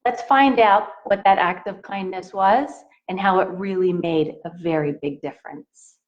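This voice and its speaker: A woman teacher reading a picture book aloud to young children in a deliberate, expressive way, speaking straight to her listeners. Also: medium pitch, highs cut off.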